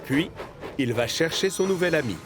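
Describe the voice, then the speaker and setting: A man narrating a scripted children's story as an expressive storyteller. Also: a little high, normal speed. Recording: music under the voice.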